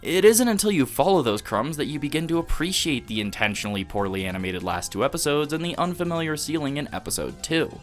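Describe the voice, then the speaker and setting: A man delivering scripted, analytical video essay narration in a measured, emphatic, rhetorical way. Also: fairly high pitch, sing-song. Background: background music.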